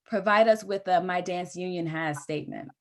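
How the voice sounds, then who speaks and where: A woman co-hosting an event, talking casually and haltingly with restarts. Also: on the low side, background noise. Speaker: co-host.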